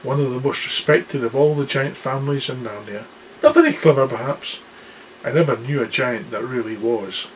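A man voicing a gentle male fawn who chats in a genteel, gossipy way. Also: mid-range voice, moderate pace, a little hiss or hum, close to an everyday voice, poor audio.

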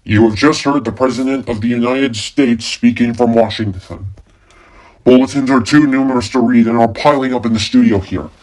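A man playing an urgent radio newsman in a formal, halting 1930s bulletin style for a radio play. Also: mid-range voice, darkish tone, lightly acted, rough recording. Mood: grave, urgent. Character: urgent male radio newsman reporting a Martian invasion. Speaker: radio news announcer.

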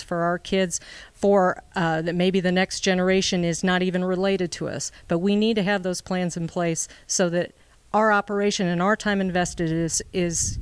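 A woman's voice: on the low side, smooth, no echo. A woman who heads a livestock association answering interview questions earnestly.

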